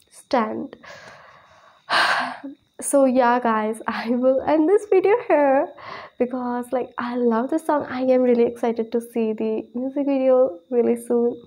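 A woman in her twenties hosting a casual reaction video, gushing and excited, hesitating and repeating words for emphasis. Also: a little high. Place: small plain room indoors.

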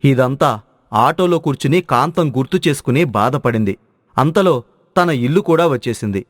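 A man's voice: mid-range voice, animated, normal speed, no room sound, studio quality. A man narrating a scripted moral story as its storyteller.

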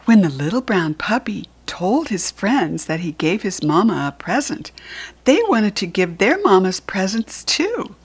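A woman with an American accent reading a children's picture book aloud in a deliberate, teacherly way. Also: medium pitch, sing-song, breathy edge. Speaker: storybook reader.

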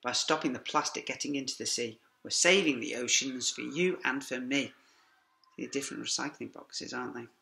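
A middle-aged man teacher reading a rhyming picture book aloud to young children in a lively sing-song way, with quiet asides to them, filmed on a laptop webcam in a classroom. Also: medium pitch, normal speed, vocal fry, a little hiss or hum. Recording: echoey classroom.

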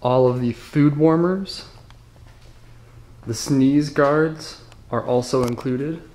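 A man narrating a slow walkthrough of an empty restaurant, listing items one by one. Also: mid-range voice, darkish tone.

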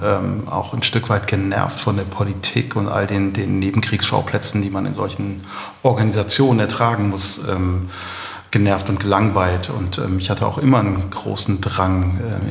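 Middle aged guy being interviewed, low creaky voice, feels for his words, echoey room. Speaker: company founder, interview guest. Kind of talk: conversational interview answers.

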